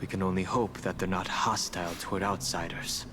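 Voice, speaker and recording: A man playing a calm young traveler in a video game, speaking in a measured, thoughtful way. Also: sits low, heavy background noise, natural voice. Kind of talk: video game dialogue acting.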